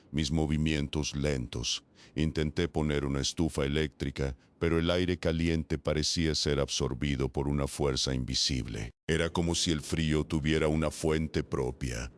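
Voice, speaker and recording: Very deep-voiced man narrating horror. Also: a little hiss or hum.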